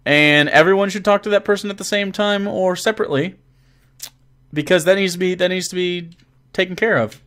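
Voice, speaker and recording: A man's voice: high voice, fairly quick, a bit bright. Profane, joking young man on a live stream. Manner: reads donations and chat messages aloud. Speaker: game master answering viewer questions. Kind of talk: casual live-stream chat.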